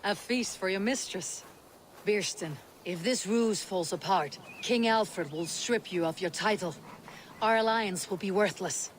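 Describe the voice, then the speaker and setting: An actress playing a hardy young female Viking warrior in a video game, measured, dry and plain-spoken. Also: mid-range voice, slight background noise, lightly acted. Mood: wry, cautious. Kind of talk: video game cutscene acting.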